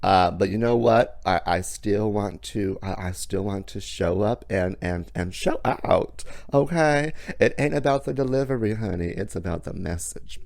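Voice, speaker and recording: A man hosting a motivational monologue in a sassy, theatrical way, raspy and hoarse from being under the weather. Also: fairly low pitch, lively intonation, normal speed, few pauses, darkish tone, dry recording. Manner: sassy, theatrical, calls listener honey and darling. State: hoarse from illness. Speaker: podcast host.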